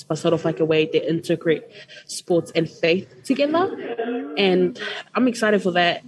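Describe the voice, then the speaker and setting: A woman's voice: fairly low pitch, darkish tone, poor audio. A young woman student guest, chatty and rambling, full of likes and ums. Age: young adult. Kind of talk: casual radio chat.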